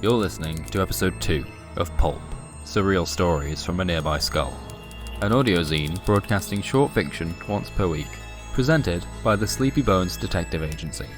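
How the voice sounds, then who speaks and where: A man with a low voice reads darkly comic pulp fiction as narrator. His delivery is deadpan and wry, set over a clearly audible music bed. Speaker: narrator and presenter. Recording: music behind the voice.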